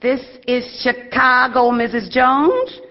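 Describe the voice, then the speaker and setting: An actress playing a sassy, proud young blues singer in a stage drama. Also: female voice, high-pitched, slow pace, muffled recording. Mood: sassy, evasive.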